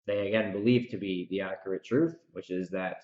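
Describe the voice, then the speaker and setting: A man's voice: deep voice, brisk, darkish tone, roomy sound, muffled recording. A man delivering reflective solo podcast commentary, speaking emphatically and repeating phrases to drive points home. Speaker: podcast host and author. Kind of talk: reflective solo recap commentary, part rant.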